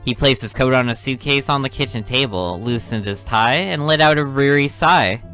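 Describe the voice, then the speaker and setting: A man's voice: mid-range voice, lively intonation, normal speed, faint music behind. A man hosting a game playthrough, reading the story narration aloud with casual asides. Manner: reads narration evenly, drops into quiet asides. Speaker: let's play host.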